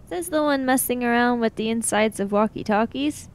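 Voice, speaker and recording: A woman's voice: on the high side, normal speed, smooth. A woman playing a tabletop role-playing game, talking casually in a matter-of-fact, argumentative way. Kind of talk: casual in-character game discussion.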